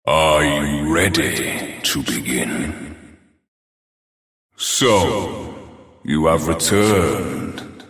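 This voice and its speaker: Very deep, slow, haughty immortal being. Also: male voice, fairly bright tone, exaggerated voice. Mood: imperious, challenging. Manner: haughty, formal, slow and menacingly amused. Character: proud, ancient superhuman being who tests mortal challengers. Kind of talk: scripted video game character acting. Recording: cavernous echo with long reverb.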